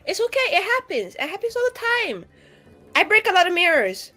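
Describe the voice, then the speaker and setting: A woman playing a tabletop roleplaying game, chatting casually in an animated, rambling and frank way. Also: extremely high pitch, brisk. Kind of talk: casual improvised roleplay chat.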